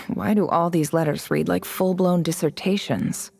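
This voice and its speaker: A disillusioned young woman, a student in a video game. Her voice sits fairly low with a noticeable creak, relaxed and dark in tone. She muses wryly, with faint music behind her. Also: measured pace. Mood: curious, musing. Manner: musing aloud, wry, reading documents out. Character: disillusioned young female academy student from the desert, cynical but curious. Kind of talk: video game dialogue acting.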